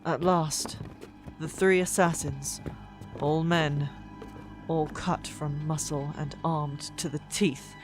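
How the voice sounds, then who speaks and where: Audiobook narrator, mid-pitched, very unhurried, suspenseful and expressive, faint music underneath. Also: rough recording. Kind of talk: dramatic scripted fiction narration. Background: soft background music.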